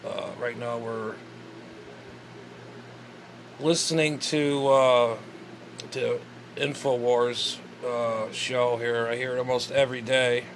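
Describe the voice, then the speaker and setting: A man who runs a ham radio station, talking casually and hesitantly with many pauses and fillers. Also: medium pitch, fairly even intonation, slight background noise, rough recording. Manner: hesitant, rambling, lots of uh. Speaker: amateur radio operator. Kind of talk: casual vlog commentary.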